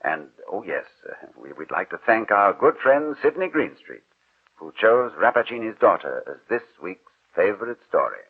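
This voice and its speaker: A man hosting a 1940s radio drama series, announcing in a formal, measured, scripted style. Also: fairly low pitch, sing-song, slightly rough. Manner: formal, measured, theatrical phrasing. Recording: muffled, narrow-band 1940s radio recording.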